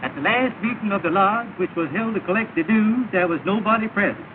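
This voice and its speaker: An actor playing an earnest lodge secretary, reading out formally in a 1930 comedy film. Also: male voice, high-pitched, fairly quick, lightly acted. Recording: muffled, noisy 1930 film soundtrack.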